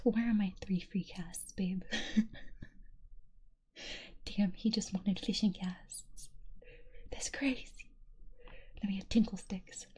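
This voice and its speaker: Giggly whispering young woman streamer. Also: mid-range voice. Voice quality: soft breathy whisper. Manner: giggly, laughs often between quotes. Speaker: streamer reading chat quotes. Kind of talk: whispered ASMR-style stream chat.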